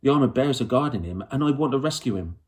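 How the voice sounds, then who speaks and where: A man's voice: medium pitch, expressive, fast talker, darkish tone, voice pushed up, close to an everyday voice. A man voicing a fierce, stubborn young girl in a children's storybook, earnest and eager, rambling breathlessly in ungrammatical colloquial speech. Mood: earnest, insistent.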